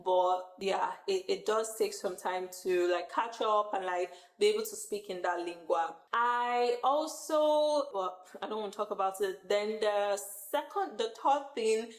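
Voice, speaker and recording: A woman's voice: medium pitch, measured pace, few pauses, echoey room. A young adult woman with a Nigerian accent, giving a friendly, rambling vlog recap full of "like" and self-corrections. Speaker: vlogger. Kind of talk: casual chatty monthly recap.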